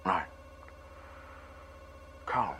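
Male captive scientist, very slow, sharp-edged voice, sombre, terse, self-controlled, faintly noisy recording, radio play. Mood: subdued, resigned. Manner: halting, terse, subdued. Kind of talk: science fiction radio drama acting.

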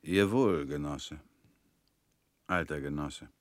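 An actor in a radio drama playing a comrade who asks quiet, probing questions. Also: male voice, very deep voice, slow pace, a little hiss or hum. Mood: somber.

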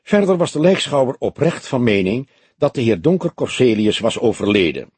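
A man voicing an earnest young police detective in an audiobook, husky and matter-of-fact, with an inquisitive manner. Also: medium pitch, big pitch swings, normal speed, a little mellow, no echo. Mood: wry. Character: young male police detective, earnest, slightly teasing sidekick. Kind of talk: acted dialogue in an audiobook.

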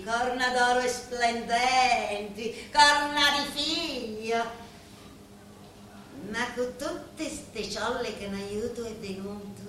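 Bitter Sicilian wife, echoing stage. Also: female voice, a little high, a bit bright. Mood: mocking, taunting. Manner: scornful, deliberate, theatrical. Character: bitter, taunting, sensual wife of a neighbourhood mob boss. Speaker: stage actress. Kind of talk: theatrical dramatic monologue. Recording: very echoey stage hall, faint noise.

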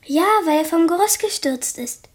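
A woman's voice: sits high, expressive, normal speed. A female performer playing a chatty little schoolgirl in a radio play, eager and matter-of-fact as she tattles. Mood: tattling.

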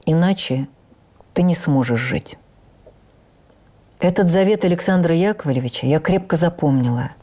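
A woman playing an older actress who recalls her life in a heartfelt, measured radio memoir reading with deliberate pauses. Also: low voice, warm tone. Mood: reflective. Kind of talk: dramatic first-person memoir reading.

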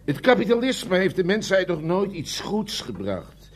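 A rambling old communist window cleaner. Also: male voice, high voice, wildly swooping pitch, a little slow, full character voice. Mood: grumbling. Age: older. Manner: rambling, hesitant with many ums, sentimental. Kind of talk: radio play acting.

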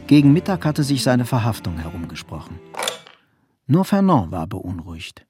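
A man's voice: mid-range voice, very animated, normal speed, music in the background, lightly acted. A man narrating a literary crime story as the grave narrator of a radio drama. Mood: grave, measured.

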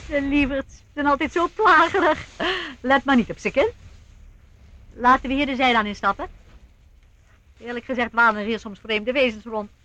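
A woman's voice: high voice, normal speed, sharp tone, poor audio. An actress playing a cheerful, eccentric elderly aunt in a radio play, breezy and fondly condescending, with chuckles in her speech. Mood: affectionate, scolding.

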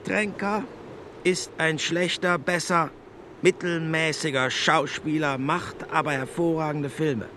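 An actor in a German radio drama playing a haughty, carping Nazi minister who makes pedantic, imperious pronouncements. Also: male voice, fairly high pitch, fairly even intonation, slow, bright, forceful tone, a little hiss or hum. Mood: pedantic, lecturing.

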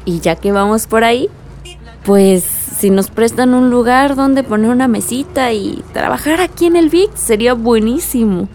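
An actress playing an eager young Mexican graduate with big plans in a radio soap, lively and friendly. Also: female voice, fairly high pitch, moderate pace, a little hiss or hum. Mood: enthusiastic. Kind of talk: radio soap opera dialogue.

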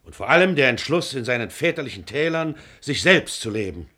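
A man's voice: mid-range voice, sing-song, a little fast, bright, forceful tone. An actor playing a stern, suspicious middle-aged father, proud and declamatory, in a classical radio drama. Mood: impatient, proud. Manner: declamatory, severe. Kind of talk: classical stage-style radio drama acting.

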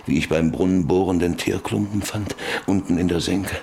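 An actor playing a bitter, haunted old rancher in a German radio play, brooding haltingly between whispers and outcries. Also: male voice, sits low, fairly even intonation, creaky, warm tone, a little hiss or hum. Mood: brooding recollection. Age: older.

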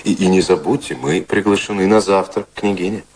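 An actor playing a cynical, cool-headed gentleman in a radio drama, ironic and commanding in manner. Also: deep voice, fairly quick, natural voice. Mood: brisk, commanding. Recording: radio play with faint background noise.

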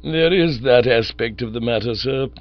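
A man voicing a grave, deferential middle-aged valet who speaks in a formal, measured way. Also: medium pitch, faint music behind, lightly acted. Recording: dull lo-fi recording with mains hum.

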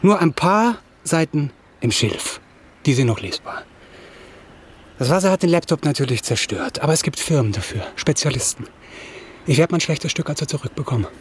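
A man's voice: on the high side, lively intonation, moderate pace, slight background noise, lightly acted. A man acting a distraught, self-pitying young writer in a German radio drama, rambling and repeating words as he stammers. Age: young adult.

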